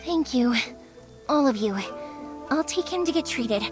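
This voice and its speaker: A spirited, caring young woman speaks in a high voice. The acting is anime game style, with music clearly playing behind. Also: expressive, measured pace. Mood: grateful.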